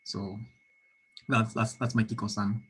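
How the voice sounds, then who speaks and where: A man's voice: on the low side, level tone, unhurried, fairly bright tone, breathy edge. A man from Kenya speaking in an online meeting, often repeating words as he looks for the right phrasing. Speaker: meeting participant.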